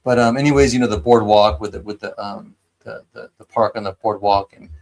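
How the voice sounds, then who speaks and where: A man's voice: lowish voice, steady tone, brisk, gravelly, a little mellow. An American man from San Diego hosting a live stream, chatting enthusiastically with lots of fillers and restarts. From San Diego, United States. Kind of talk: casual chat.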